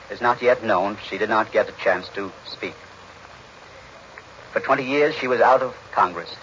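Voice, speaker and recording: An American man giving a measured, formal 1940s radio news report as an analyst. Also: lowish voice, fairly bright tone. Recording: muffled, noisy 1940s radio recording.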